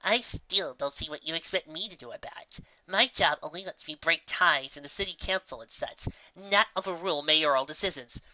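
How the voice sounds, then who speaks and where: Storybook unicorn bureaucrat voiced by a woman: haughty, weary, dismissive, with a forceful edge and a close dry sound. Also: low voice, fairly quick, hardly any pauses, no echo, highs cut off. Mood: officious. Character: officious, dismissive female unicorn court official.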